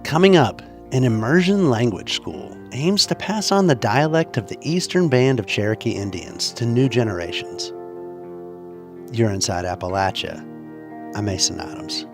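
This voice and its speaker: A man hosting a public radio show, reading scripted narration in a measured, announcing way. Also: medium pitch, animated. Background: music.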